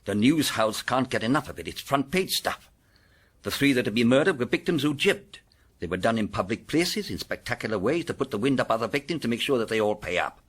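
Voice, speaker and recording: An actor with a British accent playing an excitable, commanding senior police inspector in a period detective drama. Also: male voice, medium pitch, fairly quick, breathy edge. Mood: grave.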